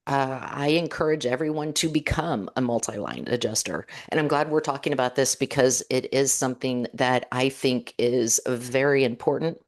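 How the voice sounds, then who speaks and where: A woman co-hosting a podcast in casual chat, her voice hoarse and raspy, upbeat and chatty. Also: a bass voice, normal speed, few pauses. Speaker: podcast co-host.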